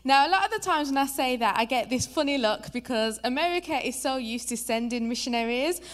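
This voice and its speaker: A young woman from England with a Birmingham accent, speaking as a guest preacher in a lively, chatty, personal way. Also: high voice, brisk. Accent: Birmingham English. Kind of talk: sermon introduction. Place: church.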